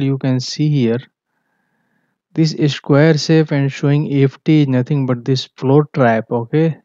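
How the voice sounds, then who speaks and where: Slow, flat-voiced male engineering tutor. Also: mid-range voice, no room sound. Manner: halting, many fillers and self-corrections. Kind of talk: screen-share drawing walkthrough. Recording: dull, dry, lo-fi voice-over.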